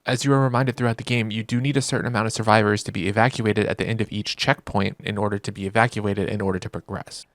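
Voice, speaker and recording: A man's voice: fairly low pitch, no echo. A man narrating a video game review in an informal, wry, joking way, with casual reactions. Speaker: gaming video creator.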